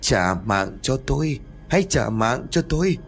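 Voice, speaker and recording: A man's voice: medium pitch, lively intonation, unhurried, creaky, soft background music, pitched above the performer's own voice. A man voicing the vengeful ghost of a small child, demanding his life back in a distant, hollow voice.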